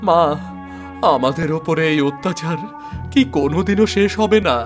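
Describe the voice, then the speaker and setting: A man voicing a poor, desperate young farmer in an animated folk tale, plaintive and protesting. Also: a little high, moderate pace, faint music behind, natural voice. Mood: anguished.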